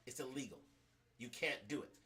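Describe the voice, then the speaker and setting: Bright-voiced halting male stream host. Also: mid-range voice. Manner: halting, many restarts and fillers. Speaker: livestream host. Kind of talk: casual commentary over played clips.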